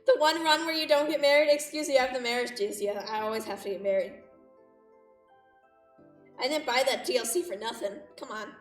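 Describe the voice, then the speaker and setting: High-pitched woman streamer, dramatic and roomy. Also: a little fast, pauses often. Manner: dramatic, playfully exaggerated distress. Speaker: game streamer. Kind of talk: livestream chat and read-aloud of a visual novel. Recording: roomy echo, distant mic, music behind.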